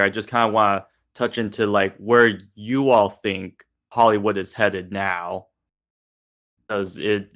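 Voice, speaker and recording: A man with a lowish but bright, forward voice hosts a casual discussion. He meanders toward his questions, rewording them as he goes. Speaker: discussion host.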